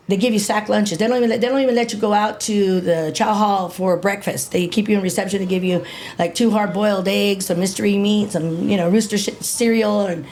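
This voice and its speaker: A woman in her fifties telling a gritty personal story in a casual interview, animated, repeating herself and swearing freely. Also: mid-range voice, slight background noise. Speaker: interview guest.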